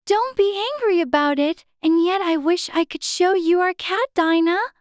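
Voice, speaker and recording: Very high-pitched, earnest little girl. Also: moderate pace, no room sound, clean studio sound. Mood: eager, chatty. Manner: earnest, childlike, apologetic. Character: polite, eager, chattering little girl. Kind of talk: dramatized audiobook character dialogue.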